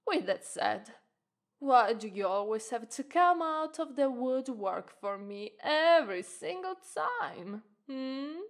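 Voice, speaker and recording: A woman's voice: sits high, lively intonation, full character voice. A woman playing a sultry, teasing witch. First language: Italian. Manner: slow, teasing, flirtatious. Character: teasing, alluring witch woman, playful and flirtatious. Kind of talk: ASMR roleplay voice acting.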